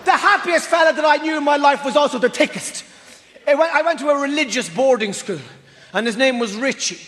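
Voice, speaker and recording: A man telling a comic story loudly on stage. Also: very high voice, fairly quick, big-room sound. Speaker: stand-up comedian.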